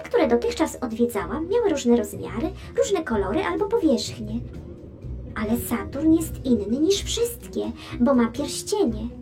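A woman voicing a cheerful, curious, gently teasing space probe in a children's educational audio drama. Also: high voice. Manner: playful, clear storytelling explanation.

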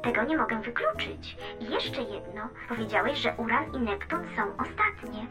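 A woman's voice: mid-range voice, wildly swooping pitch, moderate pace, over music. An actress playing a chatty, curious female space probe with a wry, teasing manner in a children's educational audio drama. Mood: eager, explaining. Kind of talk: children's educational radio play.

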